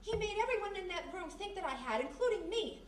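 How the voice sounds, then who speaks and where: A woman with an American accent playing a quick-thinking, stubborn, slangy detective's sidekick in a 1940s-style radio mystery, speaking insistently. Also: very high-pitched, very animated. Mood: adamant, shaken. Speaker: actor. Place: live performance in a historic house. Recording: roomy, distant live recording with faint noise.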